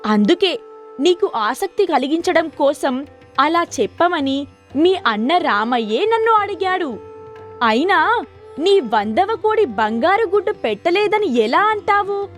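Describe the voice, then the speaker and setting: Woman voicing a high-pitched wise wandering holy man. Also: sing-song, unhurried, soft background music, full character voice. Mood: amused, explaining.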